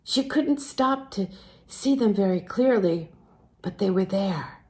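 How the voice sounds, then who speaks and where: Mellow woman reading a novel aloud. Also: medium pitch, expressive, slow. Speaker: audiobook-style reader. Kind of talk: expressive literary narration of a novel read aloud.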